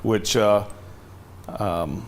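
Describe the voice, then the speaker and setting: A man, a TV meteorologist, giving live severe weather coverage with urgent, direct safety instructions, slightly hoarse from losing his voice. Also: fairly low pitch, very slow. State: losing his voice, cough drop in mouth.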